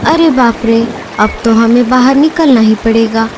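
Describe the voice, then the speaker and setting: Cartoon casting: woman as tender, anxious mother bird, very high voice, unhurried, dramatic exclamations. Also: background noise, close to an everyday voice. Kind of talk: Hindi children's cartoon dubbing.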